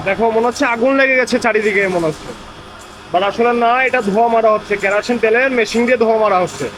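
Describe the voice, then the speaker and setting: High-pitched Bengali man vlogging with a cold. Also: very fast, low-quality recording. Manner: rambling, repeats phrases. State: has a cold. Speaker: vlogger, construction site labour supervisor. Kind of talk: casual vlog chat to camera. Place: outdoors on busy streets and a building site. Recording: background noise from street and construction site.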